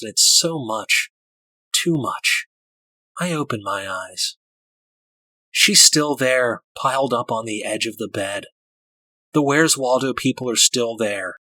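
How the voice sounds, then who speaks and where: A man voicing a frightened, awestruck child in a first-person audiobook, halting and repeating himself as a strange vision unfolds. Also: mid-range voice, sharp tone, dry recording, poor audio. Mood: entranced awe.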